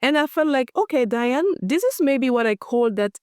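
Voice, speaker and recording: A woman's voice: high-pitched, animated, moderate pace, a little mellow, clear, resonant tone, dry recording, studio quality. A woman from the Democratic Republic of the Congo, a company founder telling her story in a podcast interview. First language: Chiluba.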